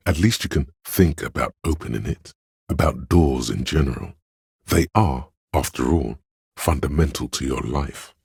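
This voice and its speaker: A man voicing a wry, pretentious inner voice of artistic thought in a video game, narrating with measured, droll pomposity and a very deep, gravelly, husky delivery. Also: dry recording, clean studio sound, exaggerated voice.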